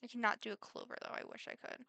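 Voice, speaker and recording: Midwest teen girl doing a q&a vlog, bright kinda high voice, rambly, dry close sound. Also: quick pace, no room sound. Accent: Midwestern American. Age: teens. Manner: chatty, rambling, full of fillers. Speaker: vlogger. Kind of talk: casual get-to-know-me Q&A.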